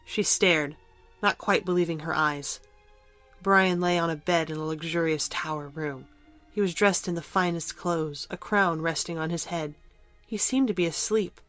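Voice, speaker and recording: A woman's voice: lowish voice, fairly bright tone. A woman narrator reading scripted fiction for an audio drama.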